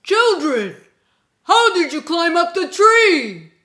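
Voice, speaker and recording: A woman voicing a fierce, hungry and cunning male tiger in a folktale, loud and demanding. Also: extremely high pitch, big pitch swings, pitched above the performer's own voice, heavily acted. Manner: loud, demanding, drawn-out exclamations.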